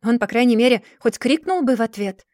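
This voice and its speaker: A woman's voice: on the high side, brisk, a little mellow, no room sound, studio quality, lightly acted. Woman reading as a haughty cold society wife. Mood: scolding. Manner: cool, scolding, superior.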